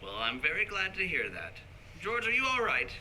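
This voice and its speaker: A man's voice: high voice, wildly swooping pitch, normal speed, pushed and bright, heavily acted, poor audio. A man playing a scheming, falsely solicitous rich old banker in a 1940s style radio play. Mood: falsely concerned. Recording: live stage recording with background noise.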